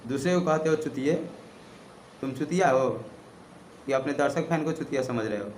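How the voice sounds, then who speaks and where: A man's voice: mid-range voice, moderate pace, a little mellow. A man with a Bihari accent giving confrontational opinion commentary as a channel host, addressing people directly with pointed rhetorical questions. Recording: roomy echo, faint background noise.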